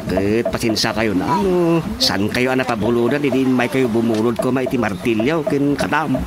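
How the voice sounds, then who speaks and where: An actor playing an apologetic, deferential village carpenter with an Ilocano accent in a radio drama comedy. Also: male voice, medium pitch, animated, moderate pace, very noisy, natural voice.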